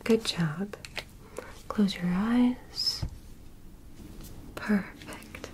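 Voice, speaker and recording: A woman whispering as a gentle, curious alien doctor in a soft, soothing ASMR medical roleplay. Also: medium pitch, rough recording. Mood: soothing, clinical. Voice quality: breathy unvoiced whisper. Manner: soft, slow, soothing reassurance with clinical instructions. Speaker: ASMR performer.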